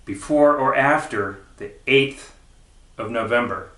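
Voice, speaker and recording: A man's voice: mid-range voice, slow pace, fairly bright tone. A man reading poetry aloud with a wry, emphatic delivery. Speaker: poetry reader. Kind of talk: poetry recital. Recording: roomy, echoing recitation.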